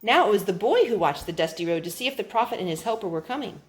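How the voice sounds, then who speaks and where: Expressive woman reading a children's story. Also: medium pitch, fast talker. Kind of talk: children's Bible story read aloud.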